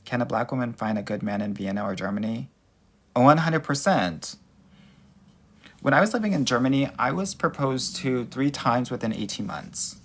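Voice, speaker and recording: A young man from Southern California, a dating coach answering viewer questions on a live stream, sassy and animated, calling listeners girl and honey. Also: mid-range voice, normal speed. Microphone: phone.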